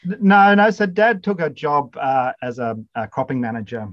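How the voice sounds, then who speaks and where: A man's voice: a little high, big pitch swings, normal speed. Hesitant middle-aged man, dull lo-fi sound. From Zimbabwe. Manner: hesitant, many fillers and restarts. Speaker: podcast guest, incoming college principal. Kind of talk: casual interview chat.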